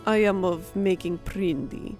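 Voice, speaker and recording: A man's voice: sits high, steady tone, a little slow, a little mellow, soft background music, voice pushed up. A man voicing a devoted tailed alien woman who speaks in stilted, oddly ordered phrasing, in an audiobook fantasy story. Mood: earnest, pleased. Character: young female tailed alien, devoted, speaking broken formal English.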